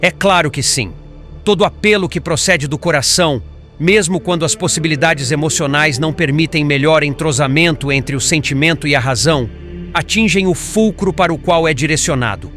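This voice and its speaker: A man's voice: a little high, brisk, lightly acted. A man playing a wise, compassionate spirit instructor in audiobook dialogue, formal and assured as he teaches. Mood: calm teaching. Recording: soft music bed.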